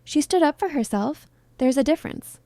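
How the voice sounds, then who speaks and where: A woman narrator voicing a calm, protective teenage boy in a story, speaking quietly and firmly. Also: sits high, normal speed, no echo, voice pushed up. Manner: quiet, firm.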